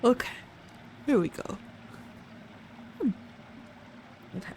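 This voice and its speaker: A woman's voice: a little high, expressive, slow pace, darkish tone, slight background noise. A woman streaming a video game, reacting aloud in casual, exclamatory commentary. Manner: exclamatory, talking back at the game. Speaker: live streamer.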